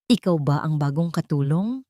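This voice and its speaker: A woman's voice: sits low, lively intonation, normal speed, mellow, no room sound, clean studio sound. A woman voicing a cold, cruel elderly mistress of a mansion in an audiobook, stern and commanding.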